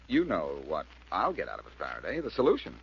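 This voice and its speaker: An actor playing a cocky, breezy amateur detective and reformed thief in 1940s radio style. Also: male voice, deep voice, wildly swooping pitch, fast talker, creaky, fairly bright tone, heavily acted. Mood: cocky, teasing. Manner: breezy, teasing, self-assured. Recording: noisy, muffled old radio recording.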